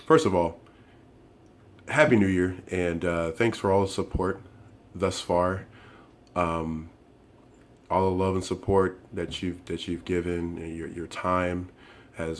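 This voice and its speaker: Low-voiced male host, hoarse, casual rambling reviewer delivery. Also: a little hiss or hum. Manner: rambling, lots of fillers and restarts. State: hoarse, voice worn from the flu. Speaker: tech reviewer and channel host. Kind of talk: casual unboxing and review talk.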